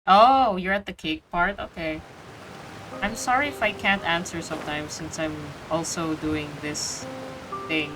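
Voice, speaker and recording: A woman's voice: on the low side, unhurried, music in the background, poor audio. A woman streaming a game, chatting and reacting casually in slangy, colloquial speech. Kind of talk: casual reactions and chat during play.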